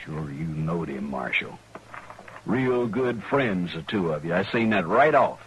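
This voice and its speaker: An actor playing a bitter, hot-tempered frontier farmer in a 1950s Western radio drama, with rustic, ungrammatical speech. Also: male voice, low voice, sing-song, a little slow. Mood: bitter, accusing. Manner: rustic ungrammatical drawl, accusing. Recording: noisy vintage radio recording.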